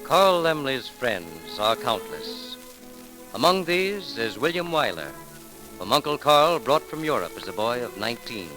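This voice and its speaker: A man announcing a memorial tribute in a formal, measured, solemn 1930s radio style, on a vintage broadcast recording. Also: mid-range voice, sing-song, rough recording. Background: music.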